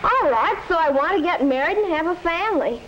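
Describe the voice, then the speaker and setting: A woman's voice: very high-pitched, animated, fairly quick, fairly bright tone, rough recording. A young woman playing a stubborn, teasing American teenage daughter in a 1950s educational film. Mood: defiant. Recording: hissy 1950s film soundtrack.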